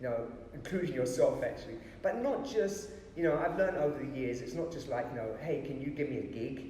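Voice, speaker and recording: Animated man in an echoey hall. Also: medium pitch, a little fast. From Pakistan, raised in North London. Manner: animated, laughs often, frequent "you know". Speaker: dance producer. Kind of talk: casual interview. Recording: echoey hall with faint noise.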